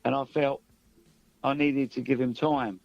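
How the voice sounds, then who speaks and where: Man, creaky voice, plain-spoken musician in casual interview, on a slightly muffled radio link. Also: mid-range voice, steady tone, unhurried, dry recording. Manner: plain-spoken, colloquial, matey. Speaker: musician guest.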